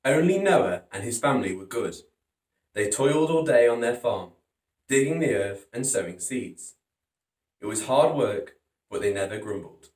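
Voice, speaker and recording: A man's voice: mid-range voice, animated, moderate pace, a little mellow. Man reading children's stories in an echoey room. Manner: dramatic, emphatic storytelling. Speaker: storytime host reading picture books. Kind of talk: expressive children's story narration. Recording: roomy echo, distant from microphone.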